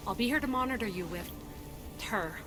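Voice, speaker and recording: A woman's voice: fairly high pitch, animated, a little fast, in a large hall, natural voice. Cautious woman doctor over music, acted. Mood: cautious, protective. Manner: concerned, cautious. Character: protective, cautious woman doctor in a modern-day team. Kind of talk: scripted game cutscene acting.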